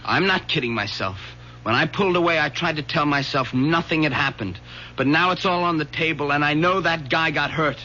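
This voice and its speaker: A man's voice: a little high, fairly quick, pushed and bright, slight background noise. An actor playing a frightened, defiant young man who argues defensively with his mother, in an old radio drama. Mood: agitated. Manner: agitated and defensive. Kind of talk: 1970s radio drama acting. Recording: dull lo-fi old radio broadcast.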